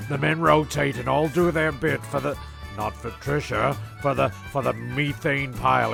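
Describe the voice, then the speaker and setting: A man giving rambling, sardonic comic narration, halting and profane as he goes. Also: mid-range voice, vocal fry. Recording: loud music under the voice.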